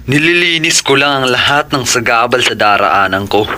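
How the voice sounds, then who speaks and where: Male actor for ruthless commanding boss, Filipino accent, piercing forceful tone, faintly noisy audio-drama recording. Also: medium pitch, animated, moderate pace. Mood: cold, commanding. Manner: curt, menacing. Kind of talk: Tagalog audio drama acting.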